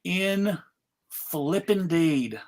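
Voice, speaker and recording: A host speaks at a slow pace in a casual live panel style. The delivery is jokey, with mock-showman announcements addressed straight to the audience. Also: mid-range voice, animated. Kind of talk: casual live chat introducing scripts.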